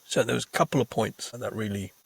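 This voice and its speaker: A man's voice: lowish voice, fast talker, creaky, breathy edge. A man, earnestly telling how he became a trade unionist, often repeating words as he starts a thought. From Bangladesh. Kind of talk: reflective interview answers. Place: podcast studio.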